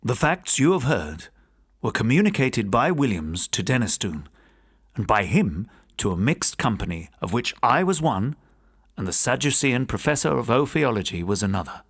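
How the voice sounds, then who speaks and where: A man giving scripted narration of gothic ghost stories as a host, in a theatrical, expressive storytelling manner. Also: medium pitch, normal speed, husky. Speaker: podcast host and audiobook narrator.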